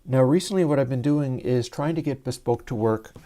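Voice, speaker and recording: A man hosting a casual livestream and explaining his audio gear step by step in a soft, soothing voice, talking into a lavalier mic. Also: medium pitch, fairly quick. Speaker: livestream host and audio developer.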